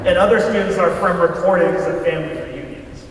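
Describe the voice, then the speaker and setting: High-voiced man on an echoey stage. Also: steady tone, fairly quick. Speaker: readers theater performer. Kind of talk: scripted stage dialogue. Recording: echoey hall, distant mic, faint noise.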